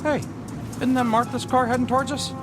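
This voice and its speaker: An actor playing an exasperated, baffled small-county police chief, grumbling and incredulous in comedic drama dialogue. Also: male voice, very high voice, little pitch movement, a little fast, music in the background.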